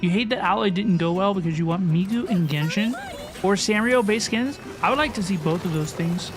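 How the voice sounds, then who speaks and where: A woman's voice: mid-range voice, brisk. A woman hosting a gaming livestream, chatting casually and often repeating phrases for emphasis. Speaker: livestream host. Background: game music.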